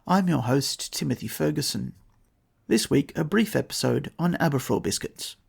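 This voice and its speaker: A man's voice: fairly high pitch, expressive, moderate pace, gravelly, husky. A man with an Australian accent hosting a podcast, giving a dry, matter-of-fact scripted talk. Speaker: podcast host. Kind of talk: scripted solo podcast narration.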